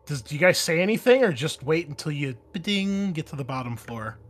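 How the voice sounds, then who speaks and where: A man's voice: fairly high pitch, expressive, fairly quick, a bit bright. A man narrating a tabletop roleplaying game as game master in an informal, conversational way. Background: background music.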